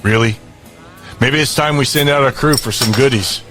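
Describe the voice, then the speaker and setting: An actor playing a cocky, brutal fighter boss in an audio drama, curt, smug and domineering. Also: male voice, mid-range voice, normal speed, close to an everyday voice. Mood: cocky, in command. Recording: music behind. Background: music.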